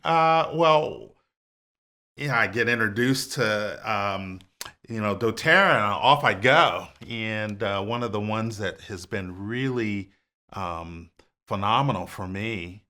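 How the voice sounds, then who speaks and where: A man's voice: lowish voice, slow pace. Easygoing man full of fillers. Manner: relaxed, rambling with many fillers. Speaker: podcast guest. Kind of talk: casual conversation.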